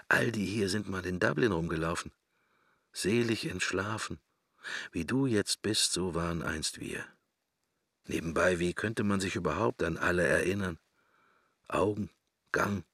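A man's voice: low voice, unhurried, vocal fry, long pauses, pushed and bright, husky, no echo, clean studio sound, full character voice. An actor playing a pensive middle-aged man in a radio drama, musing to himself wryly and morbidly in short, broken fragments. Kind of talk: German radio drama, interior monologue.

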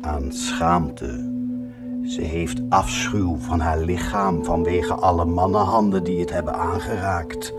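An actor playing a bitter, mocking fallen priest tormented by a devil, in a theatrical, accusing radio drama style. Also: male voice, low voice, fairly even intonation, loud music behind the voice. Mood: cold accusation. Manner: slow, accusing, sardonic, solemn declaiming.

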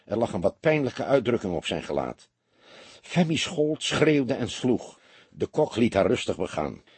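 A man's voice: lowish voice, big pitch swings, measured pace, a little mellow, husky, no echo. A man reading a detective novel aloud as dramatic scripted narration. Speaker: audiobook narrator.

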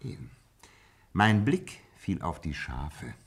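A man playing a shrewd, self-assured gentleman detective in a German radio play, explaining deliberately with some self-satisfaction. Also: low-pitched, big pitch swings, gravelly, husky, full character voice. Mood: absorbed, explanatory.

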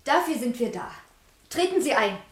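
An actress playing a direct, principled private detective, polite but pointed, in a radio crime play. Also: female voice, high voice, very animated, normal speed, heavy background noise. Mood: welcoming. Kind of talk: 1980s German radio crime play acting.

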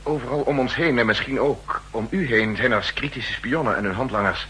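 An actor playing a worried, earnest official seeking help, speaking formally and tensely in a 1960s radio drama. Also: male voice, mid-range voice, brisk, bright, forceful tone, close to an everyday voice. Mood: anxious, earnest. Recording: noisy, humming old radio recording.

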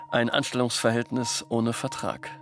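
A man's voice: medium pitch, flat delivery, slow, over music. A male actor playing an aloof, self-important arms dealer and art collector in a radio play, musing in a theatrical, pompous way. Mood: brooding, self-absorbed. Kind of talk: German radio play monologue.

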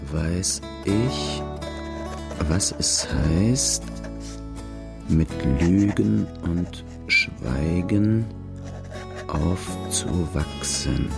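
A deep, creaky-voiced outlaw recounting his hard life. The first-person narration is grave, measured and plain, with music underneath in a radio drama. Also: exaggerated voice.

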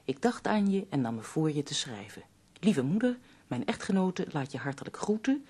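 An actress playing a polite woman who takes down a letter in a radio play, with a gentle, very husky and breathy voice. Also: low-pitched, sing-song, moderate pace, full character voice. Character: polite woman visitor taking a letter by dictation.

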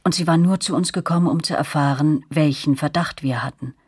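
Eine Schauspielerin spricht die nachdenkliche Ich-Erzählerin eines Hörspiels, gemessen und erzählend. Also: female voice, deep voice, breathy edge, dry recording. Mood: pensive. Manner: measured, reflective storytelling. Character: reflective woman narrator recalling her troubled girlhood. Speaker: first-person narrator. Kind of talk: scripted radio drama narration. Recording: dry studio with music underneath.

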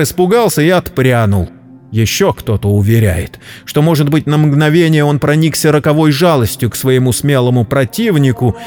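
A man narrating a scripted story dramatically, in a solemn, measured literary manner. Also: on the high side, darkish tone. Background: background music.

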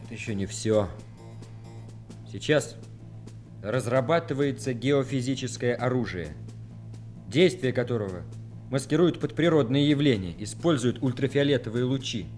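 An actor playing a serious army senior lieutenant who explains things gravely in a measured way, in a radio play. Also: male voice, medium pitch, expressive, darkish tone, soft background music, close to an everyday voice. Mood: explaining.

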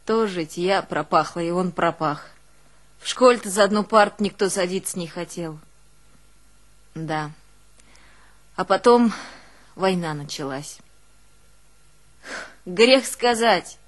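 An actress playing a long-suffering village mother, telling her hardships in a plaintive, colloquial dramatic monologue for an audio play. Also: lowish voice. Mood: weary, subdued. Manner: plaintive, colloquial, unhurried, swinging between hushed and keening. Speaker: stage actress in a one-woman audio play.

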